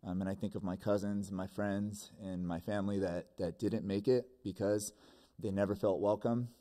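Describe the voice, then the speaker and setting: A man's voice: lowish voice, fairly even intonation, normal speed, warm tone. A young man giving a sincere public comment at a desk microphone in a school board meeting room, often repeating words as he restarts.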